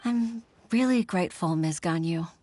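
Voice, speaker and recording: A woman's voice: lowish voice, normal speed, mellow, no echo, close to an everyday voice. A woman playing an earnest, polite and grateful young office worker in a video game.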